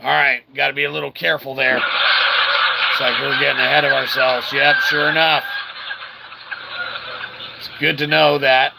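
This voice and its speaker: A man's voice: medium pitch, flat delivery, normal speed, vocal fry, pauses often, very noisy. A man giving casual, wry commentary as he plays a video game, talking back to the game and its characters with mild swearing. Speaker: host of a gameplay video.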